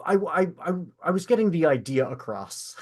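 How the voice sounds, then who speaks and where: A man hosting a podcast in a casual, conversational way, telling stories in an animated manner. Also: fairly high pitch, normal speed. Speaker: podcast host. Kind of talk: casual chat.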